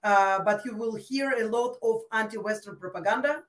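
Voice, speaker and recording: A woman's voice: fairly high pitch, normal speed. A woman from Russia answering interview questions in a thoughtful, hesitant way, with many fillers. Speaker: interview guest, expert. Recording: roomy, distant from mic.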